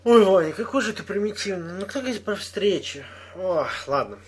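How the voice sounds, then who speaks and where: A young man chats casually with a friend, teasing him and laughing often. His voice is slightly high and a little husky, and it swings animatedly up and down. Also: animated, normal speed. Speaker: friend in casual podcast chat. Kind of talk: casual chat.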